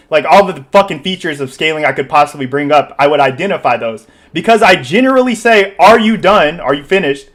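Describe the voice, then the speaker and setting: A man in a heated online debate, loud and insistent, talking over interruptions on a voice call. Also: sits high.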